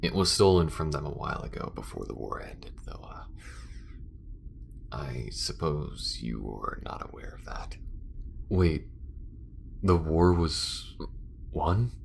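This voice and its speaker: A man playing a gruff, dry, impatient space smuggler in an audio roleplay, guarded and a little hesitant. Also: very deep voice, expressive, takes it slowly, pauses often, slight background noise, exaggerated voice.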